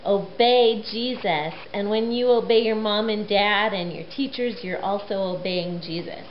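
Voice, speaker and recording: A woman telling a Bible story to children in an animated, expressive way, on a muffled recording with faint noise. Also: medium pitch, unhurried.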